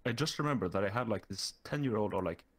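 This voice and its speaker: A young man with a Norwegian accent talks casually as an interview guest. His voice sits fairly low. He hesitates and restarts often, and he speaks into a desktop mic on a call. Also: lively intonation, quick pace, a bit bright. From Norway. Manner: hesitant, many fillers and restarts. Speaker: guest YouTuber.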